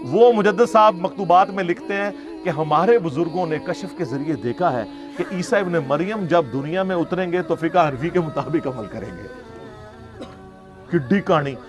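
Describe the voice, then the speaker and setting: High-pitched Pakistani man preaching over music. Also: expressive, normal speed. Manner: conversational, sarcastic, argumentative, rhetorical questions. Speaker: Islamic preacher and lecturer. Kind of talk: informal religious lecture in Urdu with quotations. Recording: music playing behind the voice.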